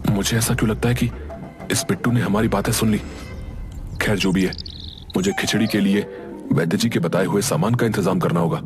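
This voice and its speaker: A man's voice: on the low side, steady tone, brisk, pauses often, natural voice. A man playing an earnest, determined young village son in a Hindi cartoon story, speaking respectfully. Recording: music behind the voice.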